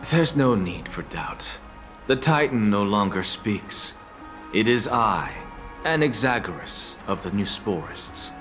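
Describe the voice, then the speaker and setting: Casting: aloof, sardonic professor for a fantasy game, low voice, pompous and measured. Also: male voice, big pitch swings, fairly bright tone, faint music behind, exaggerated voice. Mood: haughty. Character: proud male scholar professor, aloof, sardonic, defiant. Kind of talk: dramatic video game acting.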